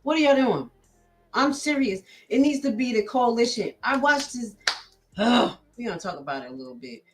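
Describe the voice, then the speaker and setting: A woman vlogger giving blunt, profane commentary while reacting to a recording and talking straight to her viewers. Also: mid-range voice. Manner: addresses viewers directly.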